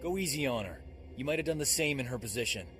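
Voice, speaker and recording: A man's voice: medium pitch, lively intonation, fast, fairly bright tone, lightly acted. Man playing a breezy, cocky rogue medic. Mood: protective. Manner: breezy, swaggering. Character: cocky, flirtatious roguish male army medic. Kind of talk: scripted video game acting. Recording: faint game music behind the voice.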